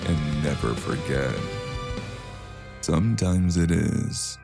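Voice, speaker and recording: A man with a gravelly voice reading the closing thanks and credits as host of an audio drama podcast. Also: a bass voice, slow, a little mellow, faint music behind. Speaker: podcast host and cast member. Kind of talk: scripted podcast outro.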